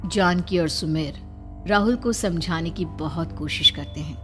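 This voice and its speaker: A woman's voice: fairly low pitch, measured pace, faint music behind. A woman reading scripted horror story narration in a suspenseful, dramatic way.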